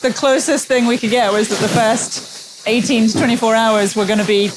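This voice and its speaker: High-pitched woman vlogging from a sailboat. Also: normal speed, noisy background. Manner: animated, expressive, exclamatory. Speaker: sailing vlogger. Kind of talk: casual chat to camera. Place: on a sailing boat at sea.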